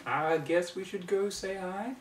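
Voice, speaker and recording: A man's voice: high voice, unhurried, slight background noise, pitched above the performer's own voice. A man voicing an earnest young farm filly pony with folksy country phrasing in a storybook reading. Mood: unsure. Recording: roomy, distant microphone in home room.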